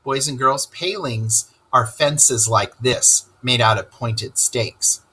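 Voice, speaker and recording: A man reading a story aloud to children in an expressive narrating style. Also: mid-range voice, slow pace, a little hiss or hum.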